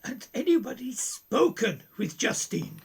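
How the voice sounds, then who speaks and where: A woman's voice: on the high side, big pitch swings, unhurried, vocal fry, husky, heavily acted. A woman playing a courteous, concerned professor in a radio play, formal and questioning. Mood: urgent. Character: concerned, courteous professor, a sceptical family friend.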